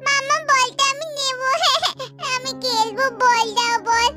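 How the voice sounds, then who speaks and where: Squeaky, whiny little child doll. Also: flat delivery, takes it slowly, music in the background. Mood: demanding. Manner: babyish, repetitive pleading and whining. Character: whiny, demanding, excitable small child doll.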